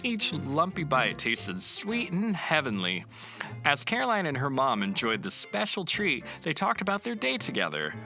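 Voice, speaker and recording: A man narrating a children's picture book aloud in an expressive, storytelling way. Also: fairly high pitch, moderate pace, faint music behind.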